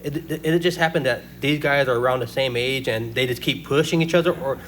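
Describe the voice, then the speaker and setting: Male podcast host, mid-pitched, eager and admiring, faint hum behind. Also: fairly quick, a bit bright, rough recording. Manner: enthusiastic, admiring questions. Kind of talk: casual interview.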